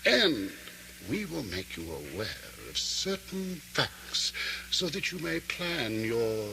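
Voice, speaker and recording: An actor playing a cunning, menacing sheriff with silky, formal courtesy in an old radio drama. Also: male voice, medium pitch, very animated, slow pace, gravelly, pushed and bright, exaggerated voice. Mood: smug, courteous. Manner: formal, taunting, theatrical delivery. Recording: noisy vintage 1970s-80s radio recording.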